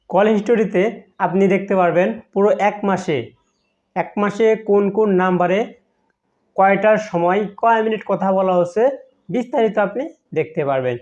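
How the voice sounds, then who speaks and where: A man's voice: high-pitched, a little mellow. A Bangladeshi man hosting a tech tutorial, explaining step by step how to use a phone app. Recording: dull, muffled lo-fi sound.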